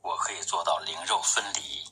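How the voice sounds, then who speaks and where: A man reading his own poetry as a literary recitation, with measured, deliberate phrasing. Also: rumbling low, sharp tone, slight background noise.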